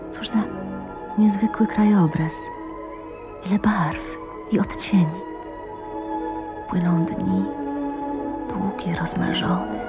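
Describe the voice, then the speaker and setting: An actress playing a shy, dreamy woman of twenty-nine in love, tender and wistful, often hushed, in a radio drama. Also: on the low side, muffled recording. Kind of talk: Polish radio drama acting with inner monologue. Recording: radio play with music behind. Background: music.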